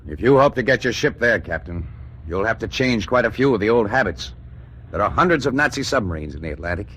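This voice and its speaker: An actor playing a stern naval officer with a blunt, cautionary way of speaking. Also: male voice, fairly low pitch, lively intonation, fast, fairly bright tone, a little hiss or hum. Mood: stern warning. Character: stern male naval officer warning a ship captain.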